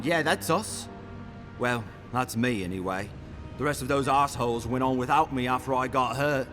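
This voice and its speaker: A man's voice: medium pitch, lightly acted. An actor playing a wounded expedition member in a video game, a coarse, folksy grumbler who is bitter at his crew. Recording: music behind the voice. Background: game music.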